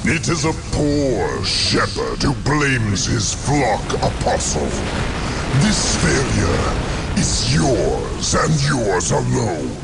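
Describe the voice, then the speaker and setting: A man playing a cold, merciless dark lord in a video game, deliberate, condescending and menacing. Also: mid-range voice, big pitch swings, vocal fry, over loud music, full character voice. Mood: cold menace. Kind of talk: video game villain acting.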